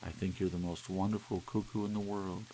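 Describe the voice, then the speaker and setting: A man reading an audiobook, voicing a sentimental, excitable wife with an earnest, emotional delivery. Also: sits low, a little fast, slight background noise, voice pushed up, low-quality recording. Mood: shy affection. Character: Doris, excitable, sentimental wife, tender and wistful.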